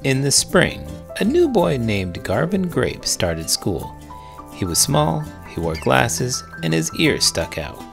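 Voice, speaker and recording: A man reads a children's story aloud as host. His delivery is theatrical and playful, swinging widely in pitch with some gravelly fry, over faint music. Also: huge pitch swings, slow pace. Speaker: read-aloud host, teacher and writer. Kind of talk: expressive children's storybook reading.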